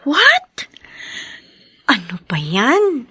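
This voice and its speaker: Concerned girlfriend confidante, radio drama: high, animated, chatty, probing. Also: drawn-out words, breathy edge, no room sound, clean studio sound, heavily acted. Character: nosy, concerned female friend, lively and chatty. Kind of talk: Tagalog radio drama acting.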